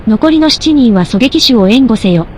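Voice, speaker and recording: A woman plays a strict operation commander in an audio drama. Her voice is fairly high, controlled and formal, giving firm instructions over faint background noise. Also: animated, normal speed, a little mellow, natural voice. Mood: composed, businesslike.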